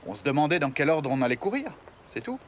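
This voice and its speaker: An actor playing a wry, sociable high-school student in a French anime dub. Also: very animated, fast, a little hiss or hum, close to an everyday voice.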